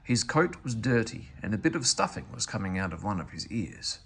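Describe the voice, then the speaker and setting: Male narrator for children's picture books, very expressive intonation, fry in the voice, faint noise behind. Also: fairly low pitch, brisk. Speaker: storybook reader.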